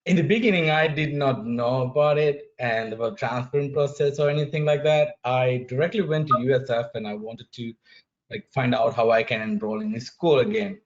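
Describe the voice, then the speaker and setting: A man from Sri Lanka answering a panel question as a transfer student, halting with many fillers and restarts. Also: medium pitch, highs cut off.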